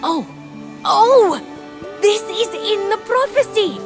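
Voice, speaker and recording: High-voiced, eager young heroine. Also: female voice, expressive, over music, full character voice. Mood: excited. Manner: halting, eager, plain-spoken. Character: earnest young heroine, awkward starstruck fan. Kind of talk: video game cutscene acting.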